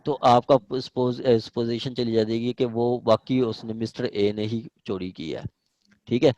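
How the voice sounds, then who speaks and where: Male Pakistani lecturer, mid-pitched, dry sound; informal, repetitive, example-led explaining with frequent check-ins. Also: steady tone, a little slow, darkish tone, no room sound, muffled recording. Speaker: law teacher. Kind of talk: informal law lecture with questions.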